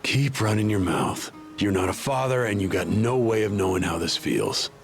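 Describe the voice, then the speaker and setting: A man's voice: lowish voice, moderate pace, faint music behind, close to an everyday voice. An actor playing a bitter, protective, hot-tempered middle-aged father in a video game.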